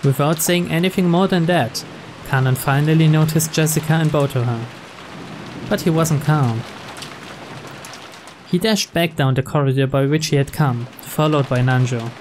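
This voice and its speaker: A man's voice: on the high side, normal speed, noisy background. A man reading scripted story narration from a game.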